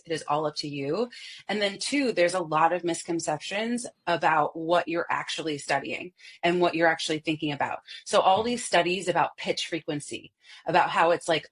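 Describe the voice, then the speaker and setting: Woman, voice coach guest; husky, lower-pitched, animated and demonstrative. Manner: expressive, demonstrates voice examples, laughs often. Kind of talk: animated podcast interview.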